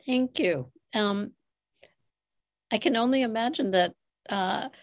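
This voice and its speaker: A woman's voice: a little high, slow. Woman hosting a conversational interview podcast. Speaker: podcast host.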